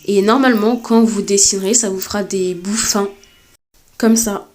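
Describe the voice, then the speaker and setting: A woman with a cold giving a step-by-step drawing tutorial, talking hesitantly with many filler pauses. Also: mid-range voice, steady tone, a little mellow. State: has a cold. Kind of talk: screen-recorded step-by-step tutorial.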